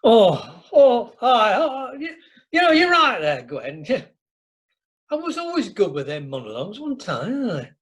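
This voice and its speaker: A man's voice: very high-pitched, huge pitch swings, measured pace, fairly bright tone, exaggerated voice. A man playing a jovial, rambling show-off who loves monologues, in an amateur radio drama. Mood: pleased, bashful. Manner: jovial, rambling, bashfully boastful.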